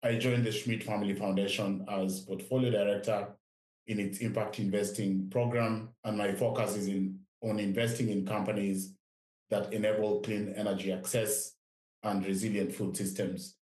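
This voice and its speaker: A man's voice: lowish voice, level tone, moderate pace, darkish tone. A middle-aged man with a Kenyan accent, talking reflectively as an interview guest. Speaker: podcast guest, investment director. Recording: roomy, echoing sound.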